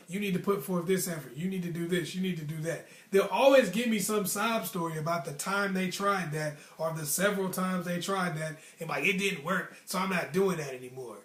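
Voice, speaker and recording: A young American man with a noticeably high voice tells stories in a casual, slangy way. He leans emphatically on his points as he hands out motivational advice. The sound is roomy, with clear echo around the voice. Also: fairly bright tone. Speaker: storytelling host.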